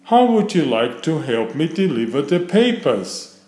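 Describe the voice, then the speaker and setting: A man's voice: on the high side, sing-song, unhurried, darkish tone. A man voicing a friendly, casual newsboy in a children's storybook, with a roomy sound. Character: friendly newsboy offering a job.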